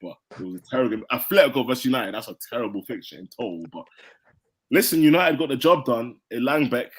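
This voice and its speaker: A man's voice: medium pitch, lively intonation, fast talker. A young man from London with a London accent, calling into an online fan hangout and insisting on having his say. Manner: insistent, swears, talks over others. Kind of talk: casual chat. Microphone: online voice call.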